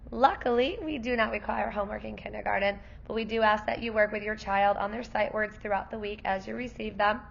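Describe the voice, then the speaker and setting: Hoarse kindergarten teacher woman, gravelly voice. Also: mid-range voice, steady tone, a little fast, hardly any pauses, highs cut off. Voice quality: gravelly, worn voice. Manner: friendly, explanatory teacher talk. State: losing her voice. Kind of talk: parent night presentation.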